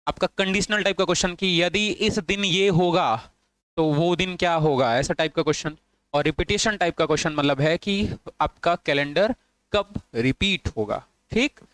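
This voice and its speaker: A man's voice: a little high, moderate pace, fairly bright tone, clear, resonant tone, no echo. A man teaching an online exam-prep lesson, repeating points and asking and answering his own questions, with English phrases mixed into his Hindi.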